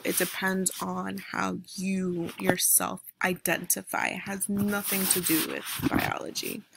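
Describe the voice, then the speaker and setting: A woman's voice: medium pitch, creaky, a bit bright, a little hiss or hum. A young adult woman from the United States, a teacher giving a recorded online mini-lesson over slides, explaining step by step with frequent ums and "right?" checks. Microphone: computer microphone, voice-over on screen recording.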